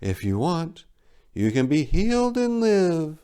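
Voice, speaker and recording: A man voicing a huge golden female bird, calm and serious, in a dramatic short-story reading. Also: a little high, slow pace, clear, resonant tone, voice pushed up, exaggerated voice. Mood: calm, solemn offer. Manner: calm, solemn.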